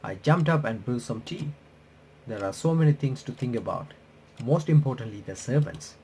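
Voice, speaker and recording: Gravelly man reading out a visual novel. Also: medium pitch, animated, warm tone, slight background noise. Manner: halting reading with stumbles and self-corrections. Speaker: host of a game playthrough and reaction channel. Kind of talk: reading visual novel text aloud with casual commentary.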